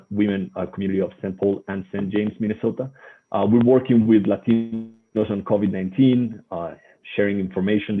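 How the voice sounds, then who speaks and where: Husky man with a Colombian accent, phone-like sound. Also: lowish voice. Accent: Colombian Spanish. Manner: halting, repeats words. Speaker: guest research associate presenting. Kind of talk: webinar presentation. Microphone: online video call. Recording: muffled, phone-like webinar audio.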